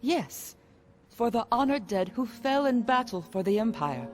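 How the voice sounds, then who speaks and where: A woman's voice: fairly high pitch, big pitch swings, unhurried, a little mellow, soft background music. A woman voicing a solemn elven noblewoman in a video game. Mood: solemn, reverent. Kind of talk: video game cutscene acting.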